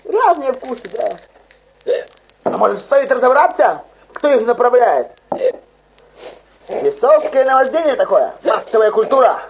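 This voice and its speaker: A woman co-presenting a comedy skit in the style of a parody radio show, cutting in with quick deadpan remarks. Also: a little high, rough recording.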